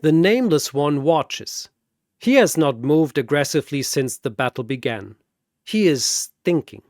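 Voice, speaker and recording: A man's voice: fairly high pitch, animated, measured pace, darkish tone, no echo, studio quality. A man narrating a scripted fantasy battle story in a dramatic storytelling style.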